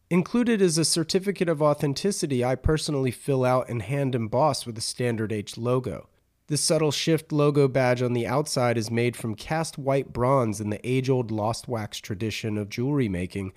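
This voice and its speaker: A man's voice: medium pitch, darkish tone. A man hosting a podcast, speaking as a presenter and interviewer. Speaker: podcast host. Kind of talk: scripted narration and interview.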